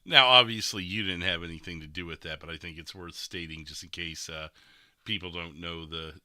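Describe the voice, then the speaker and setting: A man's voice: low voice, a little fast, pushed and bright, slight background noise. A man hosting a gaming podcast, chatting casually as a streamer about roleplay. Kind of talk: casual podcast chat over replayed gameplay.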